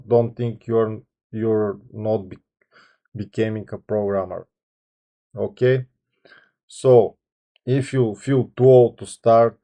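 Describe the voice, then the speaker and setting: A man in his thirties with a Bulgarian accent, a software engineer talking to camera without a script, hesitant, with many ums and restarts. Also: on the low side, vocal fry. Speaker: software engineer channel host. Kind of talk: unscripted talk to camera.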